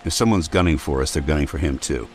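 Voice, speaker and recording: An actor playing a stiff, awkward android soldier in a radio drama, speaking tersely and hesitantly. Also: male voice, extremely low pitch, fast talker, a little hiss or hum. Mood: awkward, guarded. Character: stiff, awkward male synth soldier, gruff.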